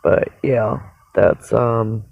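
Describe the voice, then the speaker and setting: A person with a raspy voice talks in a casual, rambling livestream monologue, halting and reflective, often pausing mid-sentence. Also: medium pitch, fairly even intonation, dry recording. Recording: dull, narrow phone-like sound.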